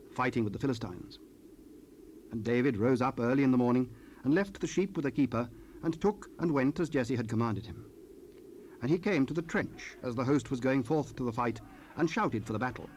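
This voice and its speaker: A man narrating scripture in a formal, solemn and measured way. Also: medium pitch, expressive, a little mellow. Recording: faintly noisy cassette rip.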